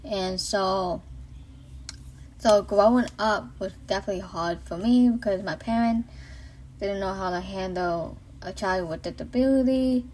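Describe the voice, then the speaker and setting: A woman's voice: medium pitch, a little slow, a little mellow, slight background noise. A woman in her late thirties from the Boston area, with a Boston accent, telling her personal faith story in an earnest, rambling way with many fillers and restarts, recorded on a phone. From Boston area, USA. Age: late 30s. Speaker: vlogger sharing her faith testimony. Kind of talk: casual spoken testimony. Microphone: iPhone.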